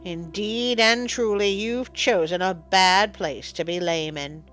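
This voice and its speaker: A woman voicing a vengeful female cobra in a storybook, speaking with slow, cold threat. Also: medium pitch, fairly bright tone, faint music behind. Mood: cold menace.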